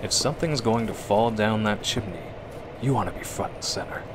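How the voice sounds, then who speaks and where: A man's voice: lowish voice, a little fast, background noise. A man narrating a scripted horror story in a dramatic, suspenseful radio drama manner. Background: music.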